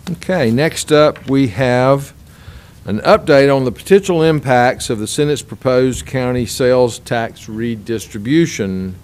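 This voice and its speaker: A man chairing a county government meeting, speaking haltingly with frequent restarts and fillers. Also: mid-range voice, darkish tone. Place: county board meeting room.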